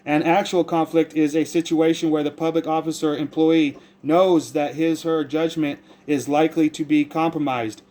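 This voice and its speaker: An American man speaking as a caller with a complaint, earnest and rambling, halting as he searches for formal words and often repeats himself. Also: on the high side, darkish tone. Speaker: caller making a complaint to a public agency.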